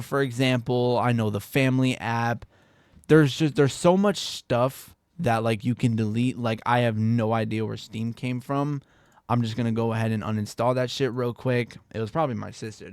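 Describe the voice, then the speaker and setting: A man's voice: medium pitch, gravelly, dry recording, studio quality. A young man with a stuffy, congested voice, giving a rambling, laid-back computer tutorial and laughing off his own slips. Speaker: tech tutorial creator. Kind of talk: casual screen-recorded tutorial.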